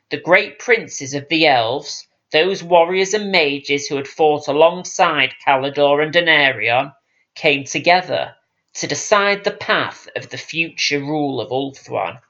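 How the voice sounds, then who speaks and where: A man reading a fantasy audiobook as scripted dramatic narration, in a solemn, measured storytelling manner. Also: a little high, bright, forceful tone, lo-fi sound. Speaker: audiobook reader.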